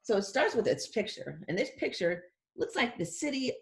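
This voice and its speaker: Expressive woman reading a picture book. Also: fairly high pitch, normal speed, gravelly. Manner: expressive, acts out sound words; conversational, explains pictures to young children. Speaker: children's picture book reader. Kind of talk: read-aloud storytelling with casual commentary.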